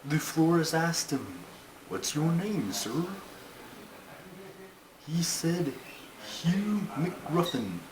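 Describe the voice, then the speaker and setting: A man voicing a puppet turtle who tells stories in an earnest, bossy, deliberate way with formal wording. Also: on the high side, expressive, a crowd in the background. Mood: solemn storytelling. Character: Terry, a slow, earnest, bossy storytelling male turtle puppet. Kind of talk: storytelling in a puppet comedy. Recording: clearly noisy homemade recording.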